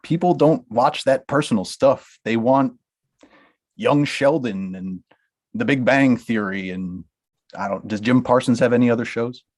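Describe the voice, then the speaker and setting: Man reading a blunt manager, mid-pitched, dismissive, table read. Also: a little slow, a little mellow, natural voice. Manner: brusque, dismissive, laughs through lines. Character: blunt money-minded male talent manager.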